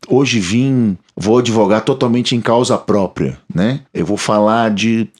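A Brazilian man co-hosting a podcast, talking casually and enthusiastically about bass records and books, with many restarts. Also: a little slow, darkish tone, slightly rough. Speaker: podcast co-host, bassist.

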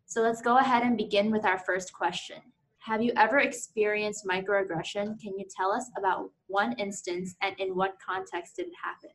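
A woman hosting a group discussion, reading a measured, reflective introduction. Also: mid-range voice, fairly even intonation, rough recording. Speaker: segment host.

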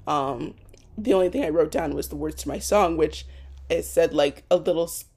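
A woman's voice: low-pitched, moderate pace, a little mellow, slightly rough. A young woman hosting a solo podcast, talking off the cuff with many restarts, as she starts to lose her voice. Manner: rambling, with frequent restarts and ums. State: losing her voice. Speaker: podcast host. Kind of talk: unscripted solo podcast monologue. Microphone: home recording for an audio podcast.

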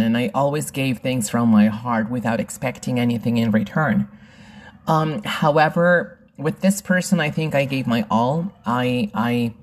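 A woman hosts her own podcast, telling a personal story in a thoughtful, halting way. Her voice sits very low for a woman, and she speaks slowly with frequent pauses. She is from Peru and in her thirties or forties. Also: darkish tone. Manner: slow, reflective, with many pauses and fillers. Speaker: podcast host and English teacher.

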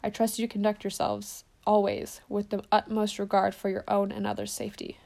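A woman voicing a wise old male headmaster in a storybook reading, measured and solemn, with a gravelly edge. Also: medium pitch, completely flat delivery, darkish tone, natural voice.

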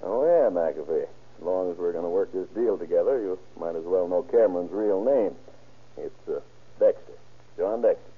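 An actor playing a smug, scheming insurance swindler in an old radio crime drama. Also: male voice, low-pitched, normal speed, slightly rough. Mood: businesslike, scheming. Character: scheming, self-assured male insurance swindler, crooked adjuster. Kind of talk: 1930s-40s radio crime drama acting. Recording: muffled, scratchy old-time radio recording.

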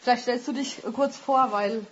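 A woman with a fairly high voice hosts a podcast and leads the conversation in a casual, chatty way. She drops in small fillers as she talks. The recording sounds slightly dull and lo-fi. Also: fairly even intonation, moderate pace, a little hiss or hum. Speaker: podcast host. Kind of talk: podcast interview.

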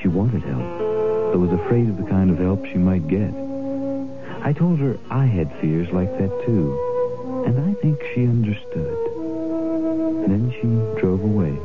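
An actor playing an ordinary, earnest man who reflects aloud in a sincere, confiding, pausing way for a 1970s radio public service spot. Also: sits low, very animated. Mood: reflective, sincere. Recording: tinny old radio broadcast, loud guitar music.

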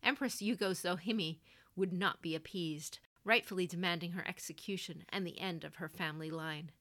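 A woman's voice: on the low side, normal speed, fairly bright tone, natural voice. Severe older noblewoman and general, performed by a female narrator, blunt and commanding, fantasy audio story. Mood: grave, formal.

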